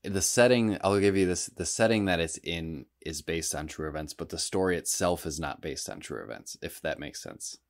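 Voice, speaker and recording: A man's voice: sits low, animated, moderate pace, few pauses, no room sound, studio quality. A man co-hosting a podcast in casual chat, hesitant and choosing his words carefully. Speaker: podcast co-host.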